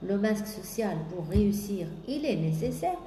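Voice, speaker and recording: A woman's voice: medium pitch, sing-song, dark tone. French-speaking coach woman, echoey room. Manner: hesitant, many drawn-out "euh" fillers, repetitive lists. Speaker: business coach. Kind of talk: motivational sales coaching talk. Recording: echoey room with faint background noise.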